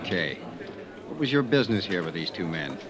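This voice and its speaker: Gruff, low-voiced American police lieutenant. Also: male voice, very animated, fairly quick, a crowd in the background. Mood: probing. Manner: blunt, pushy. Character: gruff, needling male police lieutenant, blunt but decent. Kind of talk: old-time radio crime drama acting. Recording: dull old radio recording, busy background.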